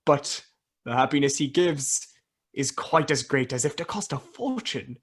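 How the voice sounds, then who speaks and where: A woman playing an earnest, wistful man with a husky voice in an amateur radio play. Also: extremely low pitch, lively intonation, unhurried, heavily acted.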